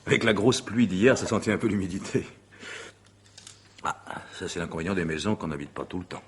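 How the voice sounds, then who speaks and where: A man's voice: deep voice, brisk, a little hiss or hum, lightly acted. A man playing an assertive, possessive lover in a French radio mystery drama, declamatory and commanding. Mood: relaxed. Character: assertive, possessive, theatrical male lover, self-styled conqueror.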